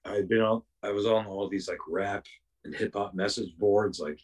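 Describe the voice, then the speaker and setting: A young man with a raspy voice, chatting casually as a podcast guest, rambling with lots of fillers and restarts. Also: lowish voice, steady tone, normal speed, recorded from a distance. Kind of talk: casual chat.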